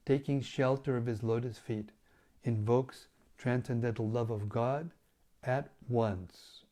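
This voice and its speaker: A man with a hoarse, failing voice reading scripture and reflecting on it as a spiritual teacher, in measured devotional tones. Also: mid-range voice, steady tone, warm tone. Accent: British English. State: losing his voice, hoarse.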